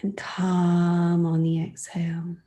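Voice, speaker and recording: A woman guides a meditation in a gentle, slightly low voice with a deeply mellow, muted tone. She speaks slowly and softly, sometimes slipping into breathiness, and leaves unhurried pauses between soothing phrases. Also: monotone.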